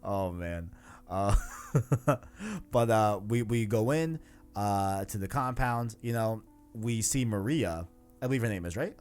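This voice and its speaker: Man, low voice, podcast host, casual gaming talk, enthusiastic, slangy, faint guitar music behind. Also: expressive, a little slow, clear, resonant tone. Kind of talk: casual video game discussion.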